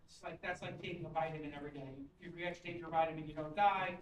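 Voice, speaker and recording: A man gives off-the-cuff critique at a pitch event. His delivery is blunt and rambling, full of questions and analogies. He is picked up from a distance in a roomy space with faint noise. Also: steady tone, very fast. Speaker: judge giving feedback on startup pitches.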